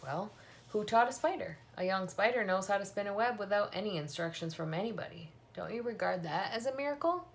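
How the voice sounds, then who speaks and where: A woman reading the part of a wise, bearded old country doctor in a storybook, unhurried and thoughtful. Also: medium pitch, animated, natural voice. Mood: gently challenging. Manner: unhurried, thoughtful, gently questioning.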